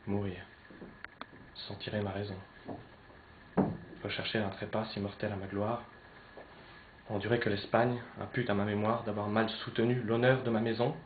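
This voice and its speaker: A man playing an anguished young Spanish nobleman torn between love and honour, declaiming classical French verse theatrically, swinging between hushed and loud. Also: lowish voice, level tone, moderate pace, long pauses, lightly acted. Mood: despairing. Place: poetry club, live recitation. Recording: roomy, slightly dull live recording, noisy.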